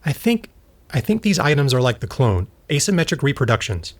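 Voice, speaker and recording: A man's voice: medium pitch, big pitch swings, brisk. An actor playing an earnest male scientist in an audio drama, speaking in a precise, explanatory way. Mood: grave, urgent. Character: earnest male scientist, husband absorbed in his work.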